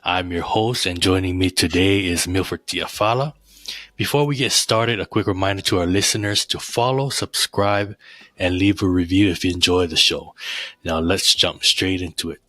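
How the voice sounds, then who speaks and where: A man hosting a podcast, briskly reading the show's intro and then asking his guest questions. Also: sits low, a bit bright, no echo. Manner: brisk, reads intro quickly. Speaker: podcast host. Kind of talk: scripted podcast intro, interviewing.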